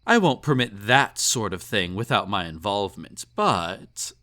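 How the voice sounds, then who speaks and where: A man's voice: fairly low pitch, sing-song, measured pace, pushed and bright, full character voice. A man playing a snobbish, possessive emperor in an intimate roleplay audio drama, with pompous, condescending, teasing speech and ornate formal phrasing. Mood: tipsy, teasing. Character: snobbish, possessive male emperor, indulgent and slightly tipsy.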